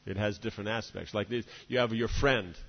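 A man's voice: fairly low pitch, steady tone, a little fast, creaky. A man giving a devotional lecture, rambling along and checking in with "right?" and "you know" between his points. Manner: discursive, many "you know" and "right?" asides. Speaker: spiritual teacher. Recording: dull reverberant hall recording.

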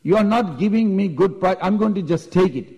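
A man's voice: high-pitched, expressive, fairly quick, darkish tone, dull audio. A man voicing a proud, argumentative young Brahmin boy who haggles insistently and provokes in a devotional story. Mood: proud, demanding. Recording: long echoing hall reverb.

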